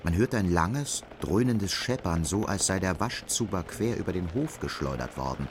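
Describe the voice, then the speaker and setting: Male narrator for radio play, low-pitched voice, literary scripted delivery. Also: lively intonation, moderate pace, slight background noise.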